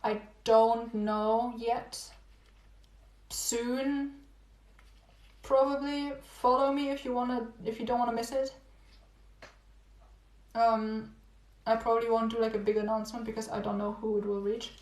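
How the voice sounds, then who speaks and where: A young woman with a fairly high, slightly hoarse voice chats to her livestream viewers in a loose, rambling way, repeating lines and laughing softly. She sounds like she is losing her voice. The room around her is noticeably echoey. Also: fairly even intonation, a little slow, pauses often. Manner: rambling, repeats herself, giggly. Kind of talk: casual livestream chat with viewers. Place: home room.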